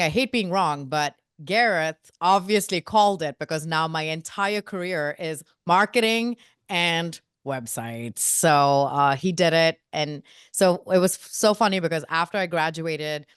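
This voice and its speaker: Guest from India, woman in her 30s: low, smooth, dry close sound; lively, self-mocking storyteller. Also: no room sound. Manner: lively, self-mocking, quotes people in voices. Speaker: guest, marketing agency co-founder. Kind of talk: casual storytelling interview.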